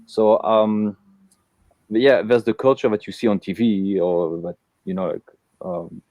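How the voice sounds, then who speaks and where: A man's voice: on the low side, level tone, measured pace, dark tone, dry recording. A man from Belgium hosting a casual live interview, talking with many fillers and restarts. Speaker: host.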